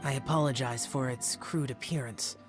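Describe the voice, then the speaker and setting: A man's voice: medium pitch, steady tone, moderate pace, music in the background, lightly acted. A man playing a solemn, reserved young male warrior adept in a video game, speaking formally and with restraint. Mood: quiet, sincere.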